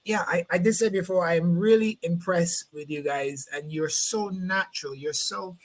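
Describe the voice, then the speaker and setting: A man's voice: sits high, normal speed. Barbadian man hosting a live interview. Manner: rambling, repeats words while finding phrasing. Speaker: host.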